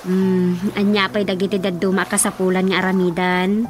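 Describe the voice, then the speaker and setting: A woman playing a chatty, teasing younger woman in an Ilocano radio drama comedy, with an animated delivery and an Ilocano accent. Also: medium pitch, normal speed, lightly acted. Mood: playful, chatty. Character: chatty teasing woman, Rosa, rushing off to her mother. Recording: music bed under the voice.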